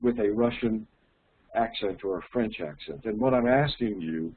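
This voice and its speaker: A man's voice: on the low side, animated, normal speed, husky. Stammering man on a tinny call. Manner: repeats words when starting sentences. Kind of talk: casual online conversation. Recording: tinny online call audio with faint noise.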